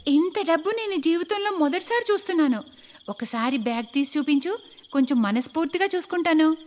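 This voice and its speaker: Woman doing a shrill scheming daughter-in-law. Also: animated, a little fast, darkish tone, long reverb tail. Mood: greedy. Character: Meera, scheming greedy young daughter-in-law. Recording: clear background noise.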